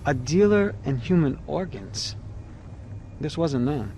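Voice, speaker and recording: Creaky-voiced, wry, world-weary man. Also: on the high side, expressive, takes it slowly, dark tone, a little hiss or hum. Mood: sardonic. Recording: music behind.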